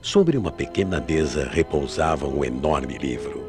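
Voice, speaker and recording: A man narrating a radio mystery play in a grave, measured, dramatic storytelling manner. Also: very deep voice, animated. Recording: AM radio drama with loud music behind.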